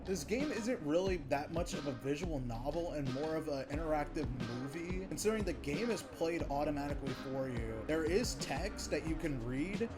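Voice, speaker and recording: A man's voice: on the high side, lively intonation, normal speed, few pauses. A man giving informal, sweary, amused commentary as he reviews a video game. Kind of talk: casual, conversational game commentary. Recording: music playing under the voice. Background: music.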